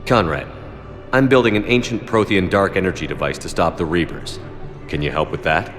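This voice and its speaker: A man voices a stern sci-fi game commander with a very low voice. He talks in curt, dry, commanding phrases, with faint music behind him. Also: brisk, close to an everyday voice. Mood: businesslike. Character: stern, curt, authoritative male military commander of a spaceship. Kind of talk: video game voice acting.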